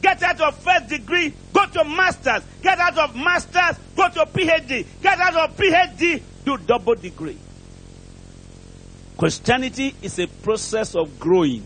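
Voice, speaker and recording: A man's voice: very high voice, lively intonation, normal speed, bright, forceful tone, slight background noise, poor audio. A man preaching a sermon from a pulpit in an emphatic, declamatory way, with short repeated phrases and rhetorical questions. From outside England, preaches there as a visitor. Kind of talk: impassioned prosperity sermon. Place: church pulpit, preaching to a congregation.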